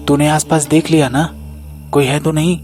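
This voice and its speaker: A village man in a cartoon moral story, acted by a male performer. His pitch sits somewhat high for a man. Soft music plays underneath. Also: flat delivery, normal speed, natural voice. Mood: sly, conspiratorial. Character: men of a village moral tale, thief and priest.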